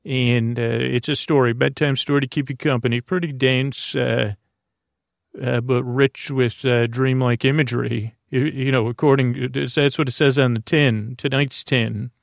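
A man hosting a bedtime podcast, talking softly in a lulling, meandering way, full of fillers and restarts. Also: medium pitch, fairly even intonation, unhurried, gravelly, dry recording, clean studio sound. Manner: soft, lulling delivery. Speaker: podcast host. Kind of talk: rambling bedtime podcast talk.

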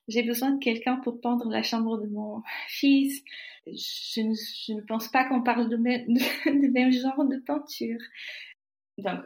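A woman's voice: a little high, vocal fry, echoey room. A woman from Portugal, a painter, telling an anecdote in an amused, storytelling way. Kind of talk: testimony interview.

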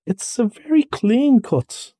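A man performs an excitable rat from a storybook, with a high, emphatic voice. He is kindly underneath but bossy and easily exasperated, and the sound is dry and close. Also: takes it slowly, husky, no room sound, exaggerated voice. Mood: puzzled. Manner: eager, emphatic, impatient.

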